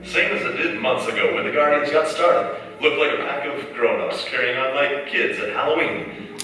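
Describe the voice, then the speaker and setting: Dramatic radio narrator, a needling inner conscience, played by a man: bright, forceful tone, big echoing hall. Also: mid-range voice, moderate pace, close to an everyday voice. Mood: probing, dramatic. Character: probing second-person narrator, an inner conscience. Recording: echoey hall, far mic, faint noise.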